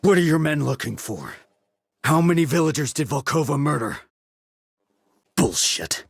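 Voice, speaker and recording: An actor playing a terse, cool-headed sniper operative in a video game, with clipped, controlled military delivery and a low husky voice. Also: male voice, very animated, moderate pace, no room sound, studio quality, full character voice. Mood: menacing, interrogating. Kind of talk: military radio chatter, game dialogue.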